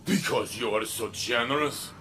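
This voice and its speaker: An actor playing a weary, proud protector of a city in a video game, grave and deliberate with an indignant edge. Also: male voice, medium pitch, very noisy, close to an everyday voice. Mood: stern. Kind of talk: dramatic video game dialogue.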